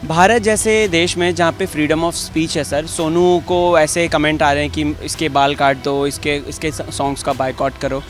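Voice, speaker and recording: A man's voice: on the high side, steady tone, measured pace, hardly any pauses, faint music behind. A man with an Indian accent presenting and asking questions as a reporter in street interviews. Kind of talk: vox pop presenting and questioning.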